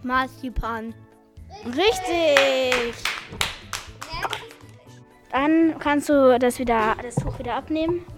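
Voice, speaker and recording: A woman with a high, bright voice hosts a blind tasting game. She announces each answer briskly and cheerfully. Music plays clearly behind her. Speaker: interviewer and quiz host. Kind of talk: hosting a blind taste test and interview.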